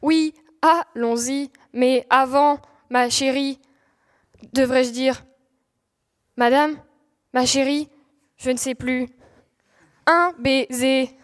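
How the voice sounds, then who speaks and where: A young woman plays a gallant, lovesick knight with a high voice. The delivery is slow, formal and courtly, in a comic stage fiction with long hall reverb. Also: steady tone. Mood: earnest, lovestruck. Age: young adult.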